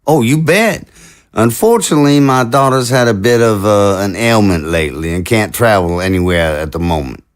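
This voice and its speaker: An actor playing an affable, diplomatic zombie president with a folksy, slightly hesitant manner in an audio drama. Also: male voice, on the low side, lively intonation, normal speed, lightly acted. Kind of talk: dramatic dialogue acting.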